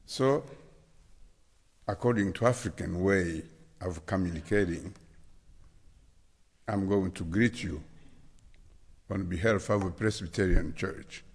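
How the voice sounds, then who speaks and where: Deep-voiced Congolese preacher in reverberant church. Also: male voice, slightly rough. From Democratic Republic of the Congo. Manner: slow, deliberate, spells out words. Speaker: guest preacher. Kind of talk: sermon. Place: church sanctuary.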